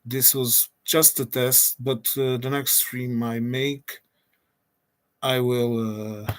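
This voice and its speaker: A man in his forties from Portugal with a Portuguese accent, talking without a script on a solo livestream and pausing often to restart his phrases. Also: mid-range voice, level tone. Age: 40s. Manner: hesitant, pauses often with restarts and fillers. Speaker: streamer. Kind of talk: unscripted solo livestream chat.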